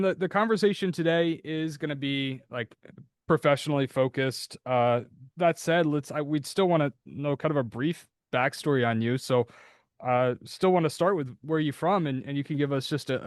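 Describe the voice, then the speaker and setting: A man's voice: mid-range voice, dry recording, clean studio sound. A man hosting a podcast interview in a casual, chatty, rambling way. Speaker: podcast host.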